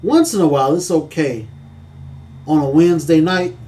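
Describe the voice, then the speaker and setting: A man's voice: on the high side, normal speed, dark tone. A man co-hosting a casual church-talk podcast, speaking earnestly with a preacherly cadence.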